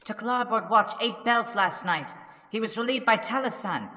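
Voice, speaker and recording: Man voicing a terrified sailor, high bright voice, broken English, long hall reverb. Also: normal speed. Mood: fearful. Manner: broken English, fearful and insistent. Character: frightened foreign sailor, superstitious. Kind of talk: 1930s radio drama reenactment.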